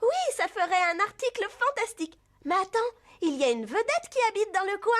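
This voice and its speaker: High, theatrical, boastful cartoon girl. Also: very animated, brisk. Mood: scheming. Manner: theatrical, self-important. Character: boastful, scheming teenage girl rider at a ranch. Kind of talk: cartoon dubbing. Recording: music behind the voice.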